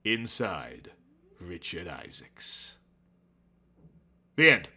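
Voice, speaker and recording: A man's voice: low voice, very animated, very slow, vocal fry, over music. A man reading fiction aloud as a dramatic narrator, with an emphatic, theatrical delivery. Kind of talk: dramatic, overwrought scripted narration.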